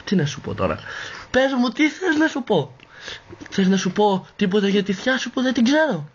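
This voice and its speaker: A man's voice: sits high, very animated, slightly rough, full character voice. A man voicing a sassy, vain, vulgar woman in an amateur comic parody dub, with campy, exclaiming delivery. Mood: mocking, sassy. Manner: campy, swooping, crude, exclaiming. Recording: muffled, noisy home recording.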